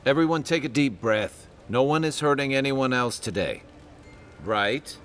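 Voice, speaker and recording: An actor playing a calm, wry peacemaking male companion in a video game. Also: mid-range voice, a little slow, faint music behind, lightly acted. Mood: wry, placating.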